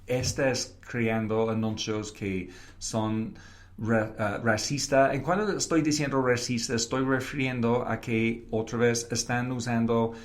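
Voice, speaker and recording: A man from Canada, a native English speaker with a foreign accent in Spanish, answering interview questions haltingly and slipping in English words. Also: medium pitch, fairly even intonation. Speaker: interview guest, advertising creative and professor.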